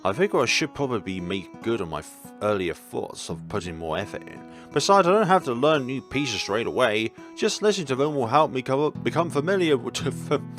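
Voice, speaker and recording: A man's voice: medium pitch, very animated, normal speed, soft background music, close to an everyday voice. A man voicing an anxious, polite schoolboy in a visual novel, tentative and apologetic. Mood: determined.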